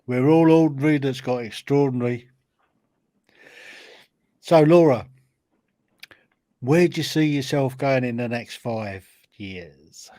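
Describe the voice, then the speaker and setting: A man's voice: mid-range voice, slow, pauses often, mellow, no room sound. Easygoing male podcast host, dry sound. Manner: easygoing, laughs along. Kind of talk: casual interview chat.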